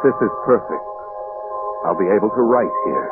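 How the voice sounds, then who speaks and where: A man's voice: fairly low pitch, animated, normal speed, lightly acted. An actor playing an intense fugitive poet reading his secret diary as a precise, theatrical old-time radio monologue. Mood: pleased, secretive. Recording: muffled old radio recording with music behind.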